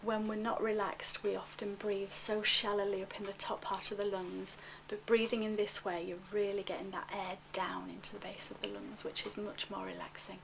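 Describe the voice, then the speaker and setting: Female relaxation coach: gentle, unhurried instruction, mid-pitched with noticeable fry, near-whispered endings, faint background noise. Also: little pitch movement. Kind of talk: guided relaxation, spoken softly to one listener.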